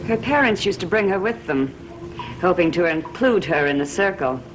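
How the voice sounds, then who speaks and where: A woman's voice: lowish voice, lively intonation, moderate pace, background noise, natural voice. An actress playing a stern, sardonic coven woman in a gothic soap opera, imperious and biting. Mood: brooding, reminiscing.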